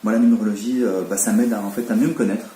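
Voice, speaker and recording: A man's voice: fairly low pitch, steady tone, very fast, mellow, echoey room, rough recording. A man around forty, born in Belgium, talking casually in an interview with many fillers and restarts.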